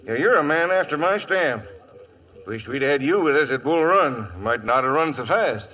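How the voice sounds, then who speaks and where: An actor playing a hearty, folksy cavalry sergeant in a vintage radio western, exclaiming in homespun talk. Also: male voice, fairly high pitch, animated, a little slow, bright, forceful tone, heavily acted. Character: folksy, hearty male cavalry sergeant, Civil War veteran. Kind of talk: 1950s radio western acting. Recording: muffled vintage radio recording.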